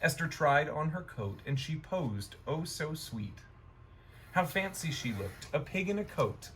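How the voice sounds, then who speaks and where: Guy reading a rhyming kids book, kinda high voice, takes his time, some noise behind him. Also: very animated, measured pace, a bit bright, poor audio. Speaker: storyteller reading a children's book aloud. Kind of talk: rhyming children's storybook narration.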